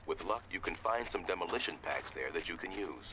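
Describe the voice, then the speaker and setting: A man playing a brisk, dry mission handler giving instructions in a video game. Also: low-pitched, lively intonation, background noise. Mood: urgent. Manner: businesslike, dry, urgent instructions.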